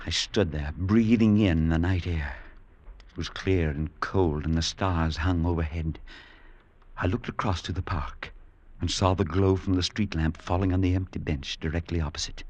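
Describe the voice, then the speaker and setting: An actor playing a calm priest who narrates a vintage radio drama in measured, grave tones. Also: male voice, low voice, highs cut off. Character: calm middle-aged male priest narrating. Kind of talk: 1940s radio acting.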